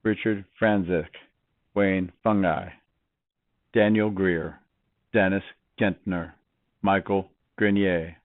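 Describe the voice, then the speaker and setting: Deep-voiced man on a phone-like recording. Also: steady tone, takes it slowly, pauses often, dry recording. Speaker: roll call reader. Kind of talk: formal memorial reading of a list of names. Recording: narrow, phone-like sound.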